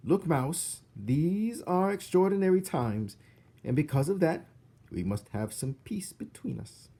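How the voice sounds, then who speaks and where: An excitable castle cook from a children's storybook, a jumpy, jolly woman acted out by a man. Her voice leaps high and swings wildly in pitch. She exclaims freely and mutters to herself. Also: measured pace. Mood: conspiratorial. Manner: exclamatory, self-muttering, giggling "hoo-hee".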